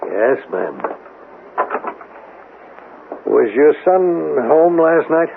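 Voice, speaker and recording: An actor playing a wry, needling police detective with dry, teasing authority and a gravelly voice in a 1970s radio drama. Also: male voice, on the high side, sing-song, extremely slow, exaggerated voice. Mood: wry, probing. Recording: tinny, noisy old radio broadcast.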